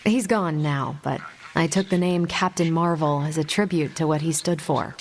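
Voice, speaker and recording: An actress playing a confident, easygoing American superhero in a video game, with a wry, casual manner. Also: female voice, deep voice, moderate pace, a little hiss or hum. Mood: frank, reflective. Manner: wry, casual, self-assured. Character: confident, easygoing superhero woman, ex-Air Force pilot. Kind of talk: video game dialogue acting.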